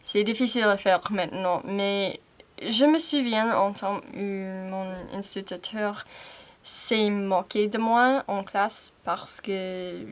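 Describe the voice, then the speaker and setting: A young American woman whose first language is English speaks French in a slow, deliberate way. She takes her time and breaks off now and then to fix a word. It is a relaxed, candid home video recorded on a camera mic. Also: mid-range voice. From United States. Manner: slow, careful, hesitant with self-corrections. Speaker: language-learning YouTuber. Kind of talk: candid personal vlog in learner French.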